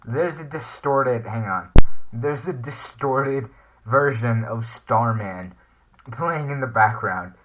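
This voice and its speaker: A man's voice: medium pitch, slow pace, background noise. A man hosting a casual reaction video, hesitantly thinking aloud as he comments and reads text off the screen. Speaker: YouTube reaction host.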